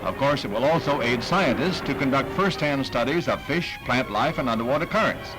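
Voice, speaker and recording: A man's voice: mid-range voice, expressive, brisk, poor audio. An American man reading scripted 1960s newsreel narration in a punchy, wry announcer's delivery. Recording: 1960s newsreel soundtrack, music behind.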